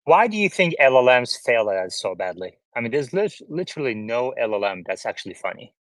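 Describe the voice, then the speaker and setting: A man's voice: medium pitch, sing-song, brisk, fairly bright tone, no echo. A man in his late thirties from Turkey, hosting a casual chat and steering it with curious questions. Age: 30s.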